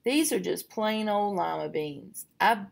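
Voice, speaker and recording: A woman's voice: medium pitch, expressive, a little slow, creaky, heavily acted. Kind sweet old woman, as a woman reader puts her on. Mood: kindly. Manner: gentle, cheerful.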